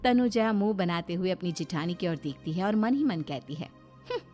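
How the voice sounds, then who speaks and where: A woman's voice: lowish voice, lively intonation, normal speed, a little mellow, soft background music. A woman narrating a scripted cartoon story as its storyteller.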